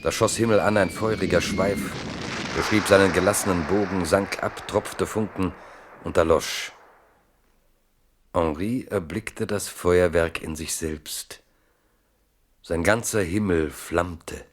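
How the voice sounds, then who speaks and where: A man acting as the grave literary narrator of a historical German radio drama, with deliberate delivery. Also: low voice. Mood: solemn.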